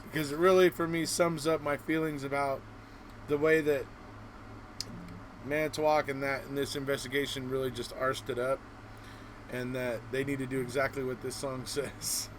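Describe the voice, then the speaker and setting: A man's voice: mid-range voice, level tone, normal speed, a little hiss or hum, low-quality recording. A man hosting a live stream in a chatty, informal and encouraging way.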